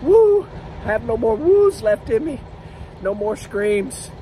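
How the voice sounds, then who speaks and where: A man, vlogging on his phone with a hoarse, worn-out voice from screaming, excitable and laughing. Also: extremely high pitch, slow, a little hiss or hum, low-quality recording. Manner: excitable, laughing, lets out loud woos. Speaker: drag racing driver and vlogger. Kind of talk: casual vlog to camera. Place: inside a trailer or motorhome. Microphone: phone camera at arm's length.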